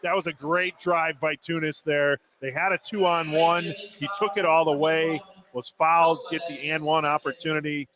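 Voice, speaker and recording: A man's voice: a little high, flat delivery, moderate pace, a crowd in the background. An American man giving live radio analysis as a basketball color commentator. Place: indoor high school basketball gym.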